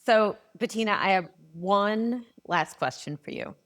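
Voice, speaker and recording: A woman's voice: mid-range voice, expressive, unhurried, a bit bright. A woman co-hosting a podcast, asking questions in an upbeat, informal way. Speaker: podcast co-host. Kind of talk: casual interview.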